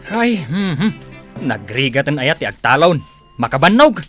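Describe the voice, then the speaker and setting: A man's voice: fairly high pitch, very animated, moderate pace, loud music behind the voice. A man acting a stern, hardworking farmer and older brother in a radio drama, grumbling with an Ilocano accent. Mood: weary.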